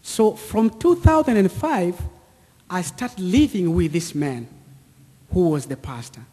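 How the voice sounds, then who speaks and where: A man in his thirties with an East African accent, giving a personal testimony to an audience in deliberate, short repeated phrases. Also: high-pitched, animated, dark tone, breathy edge. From Central or East Africa, near Lake Tanganyika. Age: 30s. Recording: echoey hall with long reverb.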